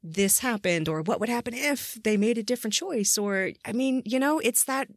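A woman's voice: a little high, animated, moderate pace, dry recording, clean studio sound. A woman hosting a podcast, chatting casually and enthusiastically with a guest as she interviews. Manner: effusive, enthusiastic.

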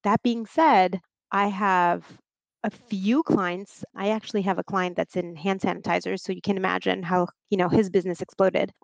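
A woman's voice: mid-range voice, fairly even intonation, moderate pace, creaky, no room sound, highs cut off. A woman in her forties from southern France, whose first language is French, explaining her business law practice with frequent restarts. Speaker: guest, business attorney. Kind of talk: interview monologue.

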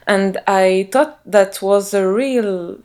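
A woman's voice: mid-range voice, slow pace, darkish tone. A woman from Morocco, a non-native English speaker, answering as a podcast guest and hesitantly searching for words. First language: not English. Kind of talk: interview answers.

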